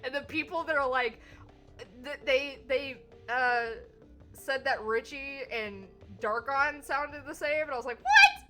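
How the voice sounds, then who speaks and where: A woman with a Southern US accent, hosting a casual stream chat, animated and laughing, swearing freely. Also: sits high, unhurried. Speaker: streamer host. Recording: faint background music.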